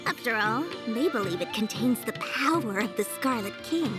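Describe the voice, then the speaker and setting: Woman acting tiny female merchant, high voice, shrewd, theatrically polite, video game cutscene, music behind. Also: expressive, moderate pace, close to an everyday voice. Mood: sly, businesslike.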